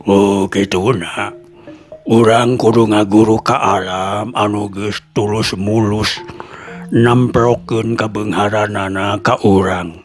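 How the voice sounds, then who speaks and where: Male narrator doing an elderly hermit master, low-pitched, solemn, unhurried, sermonizing, audio folktale. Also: fairly even intonation. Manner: slow, grave, sermonizing delivery. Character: wise old male hermit teacher, solemn. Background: faint music.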